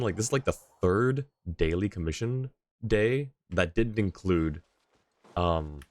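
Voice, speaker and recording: A man streaming a game live, chatting casually and rambling as he thinks aloud. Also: low-pitched. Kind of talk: casual livestream chat.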